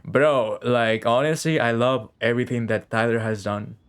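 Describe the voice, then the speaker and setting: A man's voice: medium pitch, fairly even intonation, normal speed, clear, resonant tone. A man chatting casually on a game live stream, rambling and repeating phrases as he thinks aloud.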